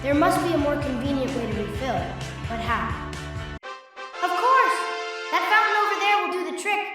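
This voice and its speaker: A woman's voice: sits high, sing-song, moderate pace. A woman voicing a plucky, earnest kid hero with an urgent manner in a cartoon comic dub. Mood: pondering. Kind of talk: fan comic dub voice acting. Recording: loud music behind the voice. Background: music.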